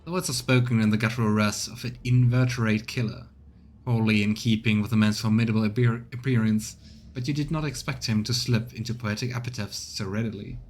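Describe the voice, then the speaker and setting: A man's voice: fairly low pitch, steady tone, a little fast. A man streaming a game, reading its text aloud as narration and adding casual comments of his own. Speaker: streamer, let's player.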